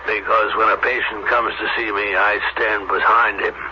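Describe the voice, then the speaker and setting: A male actor plays a wary, commanding doctor on a space colony, with a low voice. He is brusque and irritable, in the style of old-time radio drama. The 1970s broadcast sounds muffled, with clear noise over it. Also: fairly even intonation, moderate pace, lightly acted.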